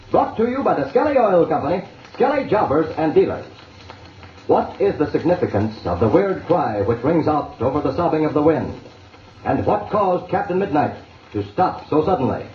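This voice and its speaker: A man with an American accent announces a 1940s radio serial for youngsters, chummy and dramatic. His voice sits high and swoops with emphasis. The old recording is echoey, with a steady layer of noise. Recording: echoey, noisy, dull 1940 radio transcription.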